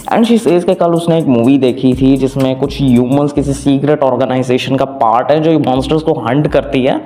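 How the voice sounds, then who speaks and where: A man's voice: medium pitch, warm tone. A man with an Indian accent narrates a story recap informally in the first person, slipping between Hindi and English phrases. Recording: voice-over with music underneath.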